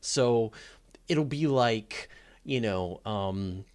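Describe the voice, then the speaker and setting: A man hosting a live question-and-answer stream in casual, unscripted speech, haltingly restarting his phrases as he rambles along. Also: fairly low pitch, lively intonation, darkish tone. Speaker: host of a live astronomy Q&A stream. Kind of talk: casual, unscripted livestream chat.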